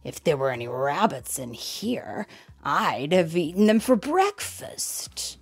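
A gruff, hungry fox from a children's storybook, acted out by a woman. He gloats in a low, husky growl and draws his words out slowly, relishing them. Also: big pitch swings, soft background music, exaggerated voice. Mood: musing. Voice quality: growling, gravelly. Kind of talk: children's picture-book read-aloud.

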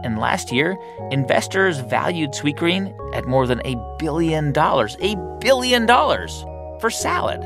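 A man's voice: fairly high pitch, very animated, unhurried, vocal fry, over music. A man hosting a podcast, narrating a story in an earnest, reflective way with emphatic rises and then interviewing a guest. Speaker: podcast host.

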